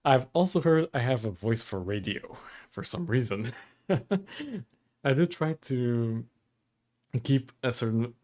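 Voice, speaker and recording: A man, a game developer streamer chatting casually with viewers, keeping his voice deliberately low and calm despite a dry throat, rambling with fillers. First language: Portuguese. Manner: deliberately lowered, calm delivery; rambling, with many fillers and laughs. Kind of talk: casual livestream chat with viewers.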